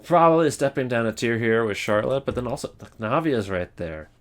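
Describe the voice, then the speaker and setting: A man's voice: medium pitch, expressive, fairly quick. A man giving casual, off-the-cuff ranking commentary, rambling and jokey in a self-deprecating way. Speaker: video creator ranking game voice lines.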